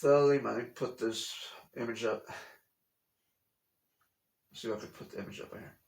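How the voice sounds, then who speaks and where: A man's voice: mid-range voice, steady tone, moderate pace, vocal fry. A man talking casually to his camera at home, rambling and repeating himself, bluntly dismissing conspiracy theories. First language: English. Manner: rambling, repeats phrases often. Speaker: vlogger. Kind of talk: casual explanatory monologue. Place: at home, room with a TV.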